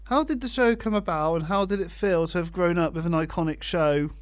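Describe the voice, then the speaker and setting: A man's voice: high voice, fairly quick, dry recording. A man, a polite and earnest radio interviewer asking prepared questions.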